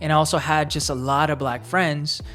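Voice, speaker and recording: A woman's voice: low-pitched, fairly even intonation, normal speed, a bit bright, soft background music. A woman from the United States co-hosting a casual chat, speaking reflectively in long, searching sentences full of fillers. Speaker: co-host.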